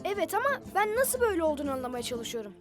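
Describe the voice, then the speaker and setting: A woman voicing an inquisitive child, the hero's friend, in an animated children's series. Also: extremely high pitch, rapid-fire, over music. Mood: puzzled.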